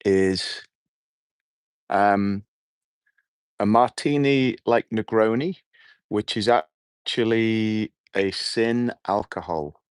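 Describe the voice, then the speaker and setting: A middle-aged man with a hoarse voice, hosting a relaxed, rambling evening livestream outdoors and chatting with his viewers. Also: lowish voice, extremely slow, long pauses, no echo, studio quality. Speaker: livestream host. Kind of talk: casual evening livestream chat. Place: outdoors at night.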